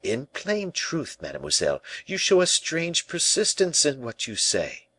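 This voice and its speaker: A man's voice: on the high side, sing-song, a little slow, fairly bright tone, dry recording, voice pushed up, heavily acted. Man playing a proud, hot-tempered young king, swooping. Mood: haughty displeasure. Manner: imperious, haughty, theatrical.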